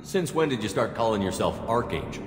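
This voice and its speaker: A man's voice: lowish voice, sing-song, brisk, music in the background. An actor playing a level-headed male military commander in a video game cutscene, speaking in a matter-of-fact, dry way. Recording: reverberant game mix with score.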